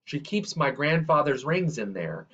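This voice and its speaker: A man reading a children's story aloud in a measured, expressive way, drawing out the ends of phrases. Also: a little high, slightly rough, lo-fi sound. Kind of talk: read-aloud scripted narration.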